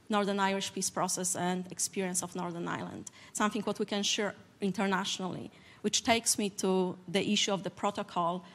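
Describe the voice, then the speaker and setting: Polish-accented woman on a panel. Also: mid-range voice. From Poland. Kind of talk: conference panel talk.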